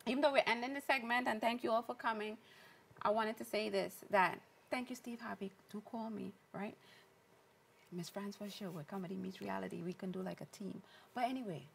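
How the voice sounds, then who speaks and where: A woman's voice: mid-range voice, fairly quick, gravelly, slight background noise. A woman from Trinidad and Tobago with a Trinidadian accent, hosting a casual talk show in a chatty, playful, upbeat way. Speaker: host.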